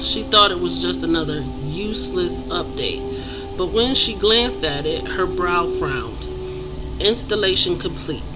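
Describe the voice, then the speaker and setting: An author presenting their own book in a nervous, halting voice with small stumbles and self-corrections. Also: mid-range voice, low-quality recording. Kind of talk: read-aloud fiction, then casual promotion. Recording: music playing behind voice. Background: music.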